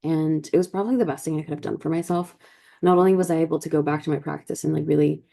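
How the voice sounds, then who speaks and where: A woman's voice: deep voice, creaky, mellow. A woman in her twenties from Colombia hosting a podcast, telling personal stories in a casual, reflective way and peppering her talk with "like". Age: 20s. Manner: informal, peppered with "like" as a verbal habit. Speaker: podcast host.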